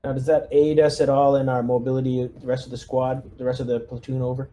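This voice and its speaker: A man's voice: mid-range voice, flat delivery, fast, mellow, a little hiss or hum. A man chatting casually as a player in a tabletop game. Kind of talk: casual chat over a game.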